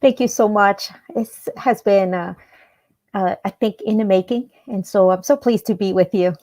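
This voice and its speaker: A woman from El Salvador, a guest on a radio interview, telling her life story in an earnest, measured way with some hesitations. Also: medium pitch, warm tone.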